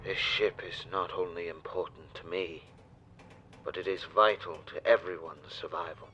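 An actor in an audio drama playing a grave, weary old ship's builder who speaks solemnly and formally. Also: male voice, deep voice, steady tone, pushed and bright, lo-fi sound, a little hiss or hum. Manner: solemn, formal, measured phrasing.

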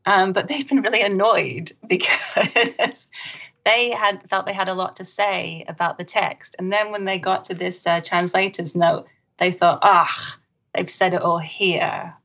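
A woman who translates books, answering modestly and hesitantly, with many pauses and nervous laughs. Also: fairly low pitch, steady tone, gravelly, a bit bright. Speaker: literary translator. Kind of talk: conversational interview answers.

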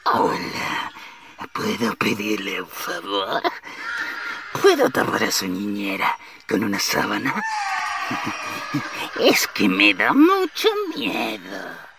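Creaky, mocking killer clown. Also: sits low, sing-song, background noise, exaggerated voice. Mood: menacing mockery. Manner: mocking, slow, cackling. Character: menacing killer clown, mocking, gleefully creepy. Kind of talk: radio drama acting.

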